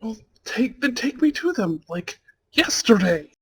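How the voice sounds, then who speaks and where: Devoted mafia heir played by a man, romantic ASMR roleplay: slow, intimate, breathy, raspy. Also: very high-pitched, very animated, a bit bright, heavily acted. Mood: agitated, impatient. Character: devoted, tender mafia heir, newlywed husband and anxious father-to-be.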